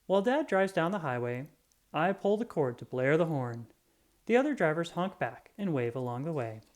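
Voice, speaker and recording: A reader reading a children's picture book aloud in an expressive storytelling manner. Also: mid-range voice, normal speed.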